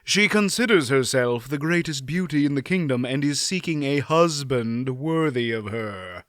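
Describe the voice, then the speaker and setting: A man voicing a chivalrous, formal-spoken knight, a little raised and bright. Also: medium pitch, animated, moderate pace, dry recording, studio quality, lightly acted. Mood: earnest. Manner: old-fashioned formal speech. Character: boastful chivalrous male knight, formal, archaic.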